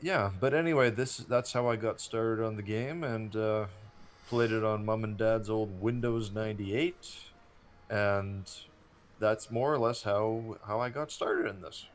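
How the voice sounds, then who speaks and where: Male gaming co-host, 30, deeper voice, explanatory storyteller, casual commentary style. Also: unhurried. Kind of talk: casual gameplay commentary and reminiscing.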